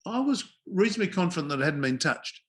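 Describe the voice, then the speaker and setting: A man's voice: high-pitched, very animated, fairly bright tone. Dry-humoured older man. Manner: dry understated humour, measured with frequent "uh" pauses. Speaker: guest, former cricket umpire. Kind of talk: relaxed storytelling in a podcast interview.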